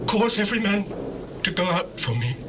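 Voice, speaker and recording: An actor playing an impassioned, commanding lord of Egypt in a formal, declamatory dramatized scripture reading. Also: male voice, high-pitched, big pitch swings, moderate pace. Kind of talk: dramatized scripture reading, radio-play acting. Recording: noisy old dramatized audio recording.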